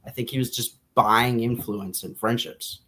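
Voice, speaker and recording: A man speaks as a podcast co-host in a casual book discussion. His delivery is informal and a little halting, and he often circles back to rephrase what he just said. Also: mid-range voice, fairly even intonation, sharp tone.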